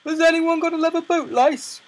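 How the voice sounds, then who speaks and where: A man's voice: medium pitch, animated, fairly quick, husky. A man playing stern, practical railway workers in a children's storybook, brusque and matter-of-fact. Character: stern practical railwaymen, guard and engine driver. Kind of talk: children's audio storybook character acting.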